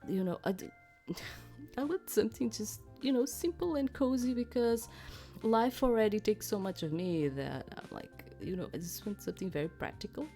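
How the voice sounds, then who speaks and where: A woman's voice: medium pitch, lively intonation, moderate pace, mellow, soft background music. A middle-aged woman hosting a gaming channel, chatting casually and rambling, with hesitant explanations to her viewers.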